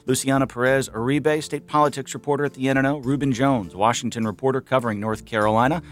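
A man hosting a public radio news roundup from a studio, relaxed with joking asides. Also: mid-range voice, fast. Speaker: radio show host. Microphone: studio microphone.

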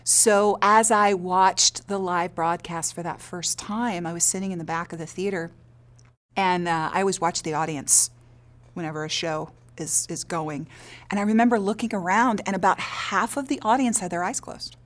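A woman's voice: on the low side, normal speed. A woman theater director explaining her work in an earnest, reflective interview. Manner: earnest, explanatory, checks with "right?".

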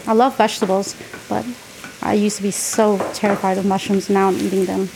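A woman's voice: medium pitch, little pitch movement, a little fast, background noise. A woman in her forties chatting casually in a kitchen as she cooks, laughing often and explaining each ingredient. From United States. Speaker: guest cooking in a recorded segment. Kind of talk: casual chat while cooking. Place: home kitchen.

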